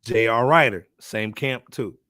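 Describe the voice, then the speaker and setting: A man's voice: mid-range voice, unhurried, dry recording. Slangy male podcast host, dry close sound. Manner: slangy, animated asides, frequently says "man". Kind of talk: casual hip hop discussion.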